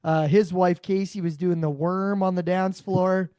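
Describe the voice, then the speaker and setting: A man with a raspy voice, co-hosting a podcast, rambling through casual chat. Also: high voice, steady tone, measured pace, dry recording, studio quality. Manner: rambling, warm storytelling. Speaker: podcast co-host.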